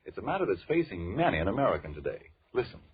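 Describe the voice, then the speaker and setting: A man announcing in a dramatic 1940s radio style, as narrator and pitchman. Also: sing-song, very fast, breathy edge. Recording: narrow lo-fi old radio recording.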